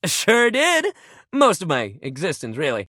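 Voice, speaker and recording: A man's voice: a little high, huge pitch swings, measured pace, pushed and bright, no room sound, studio quality, full character voice. An actor playing an upbeat, friendly but sensitive young male sports jock in a video game, talking in casual, emphatic bro speak. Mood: wistful. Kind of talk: video game character dialogue.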